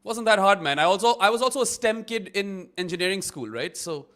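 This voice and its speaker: A man in his thirties hosts a live watchalong, trading jokes with a guest and breaking in with match updates. His voice sits a little high for a man, and he keeps the tone light and teasing. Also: expressive, fairly bright tone. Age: 30s. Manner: teasing, quick laughing banter. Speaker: watchalong host. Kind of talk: casual chat with cricket updates.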